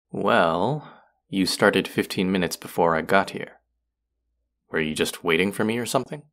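A man's voice: sits low, fairly bright tone, exaggerated voice. A young man playing an irritable, predatory vampire college student in an intimate audio roleplay, curt, sarcastic and condescending. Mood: snappish, sarcastic.

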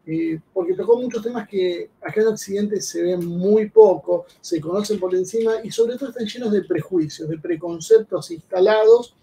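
A man co-hosting an interview, explaining the topics to viewers with many pauses and fillers. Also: high-pitched, mellow, distant mic, a little hiss or hum. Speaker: co-host interviewer. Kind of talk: interview introduction. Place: home room.

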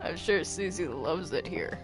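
A woman improvising roleplay in a video game, speaking haltingly and often repeating her words. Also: lowish voice, expressive, music in the background. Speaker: roleplay gamer. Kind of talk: improvised Minecraft roleplay chat.

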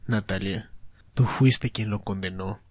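Man playing a male vampire villain, silky menace, rough-edged voice, dry recording, taunting politeness, roleplay drama. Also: fairly low pitch, fairly even intonation, a little slow, dry recording. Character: sly male vampire Congress enforcer, silkily menacing. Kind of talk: Spanish-language ASMR roleplay audio drama.

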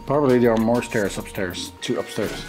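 A middle-aged man who is not an English speaker, narrating haltingly as he picks through a decaying house. His voice is mid-pitched and sometimes drops to a hush, and it rings in bare, echoey rooms. Music plays clearly underneath. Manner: halting, thinking aloud, drops to a hushed whisper at times. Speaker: urban explorer host. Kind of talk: casual walkthrough commentary. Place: large abandoned house, indoors. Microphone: handheld camera mic.

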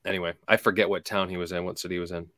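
A man hosting a casual interview over a video call, relaxed and full of little fillers. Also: sits low, racing, no room sound, studio quality. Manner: relaxed, filler-heavy, easygoing. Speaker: host. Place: home room. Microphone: video call, webcam.

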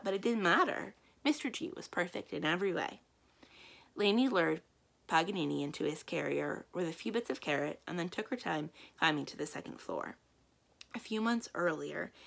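A young woman reading a children's book aloud as a library storyteller, with measured, expressive narration. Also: on the low side, gravelly. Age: young adult.

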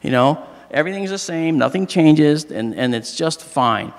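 A middle-aged man with a slightly hoarse, fairly high voice lectures on stage, joking with the crowd. His pitch swings widely as he talks into a handheld mic. The sound carries a long hall reverb. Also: moderate pace. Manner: animated, joking with the audience. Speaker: astronomy lecturer. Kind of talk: public lecture with jokes.

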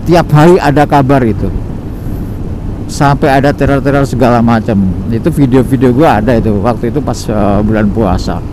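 A man's voice: mid-range voice, normal speed. Rambling Jakarta-slang man riding at night. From Indonesia. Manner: rambling, relaxed, many fillers. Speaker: motovlogger. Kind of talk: casual solo ride vlog. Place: riding a motorcycle at night on city streets. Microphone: helmet-mounted action camera. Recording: road and traffic noise behind. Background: engine and traffic.

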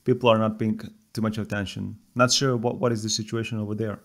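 A man in his thirties from Serbia with a Serbian accent, speaking as a podcast guest in a thoughtful, halting way with many fillers. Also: on the low side, little pitch movement. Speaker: podcast guest, software developer. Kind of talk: casual podcast conversation.

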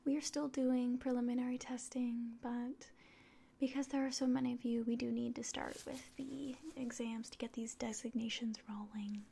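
A woman in her twenties doing a whispered ASMR roleplay in a soft, breathy, gentle and reassuring voice, close to the mic. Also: on the high side, level tone, moderate pace. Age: 20s. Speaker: ASMR performer playing an alien medical examiner. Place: small indoor room.

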